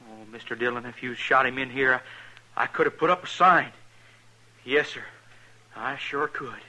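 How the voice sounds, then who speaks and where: An actor playing a nervous, stammering town barber in a 1950s radio drama. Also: male voice, medium pitch, normal speed. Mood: excited. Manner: stammering, jittery, excitable. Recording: old scratchy radio recording.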